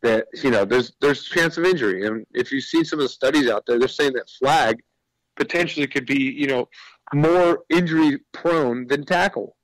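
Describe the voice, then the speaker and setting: A man's voice: medium pitch, normal speed, dry recording, low-quality recording. Man explaining his product, dry close sound. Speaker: business owner, interview guest. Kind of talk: conversational radio interview pitch.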